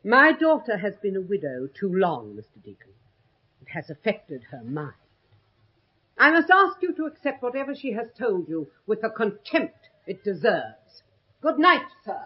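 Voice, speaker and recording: An actress playing a stern, controlling old matriarch in a radio horror drama, formal, severe and imperious. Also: female voice, medium pitch, very animated, moderate pace, breathy edge. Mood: icy, commanding. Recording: dull, muffled old radio recording.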